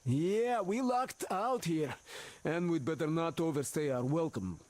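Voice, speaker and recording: Cheeky game soldier, upbeat, high pitch, lively intonation, noisy surroundings. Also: male voice, unhurried. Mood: relieved, wary. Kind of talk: video game voice acting.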